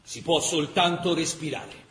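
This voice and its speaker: Grave wartime chronicler, roomy sound. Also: male voice, a little high, fairly bright tone, slight background noise. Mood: grave, tense. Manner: measured, emphatic, with dramatic pauses. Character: grave male chronicler of a besieged wartime city. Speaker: narrator in a radio drama. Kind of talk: dramatic scripted documentary narration. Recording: roomy, echoing radio theatre sound.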